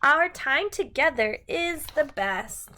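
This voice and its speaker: A woman's voice: high voice, lively intonation, slow pace, sharp tone. A woman teacher doing a read-aloud storytime for young children in a sing-song manner.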